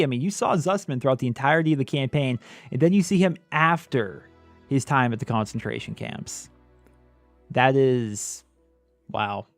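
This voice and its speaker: A man's voice: medium pitch, no room sound. A man hosting a gaming video, giving casual, enthusiastic, conversational commentary into a close mic.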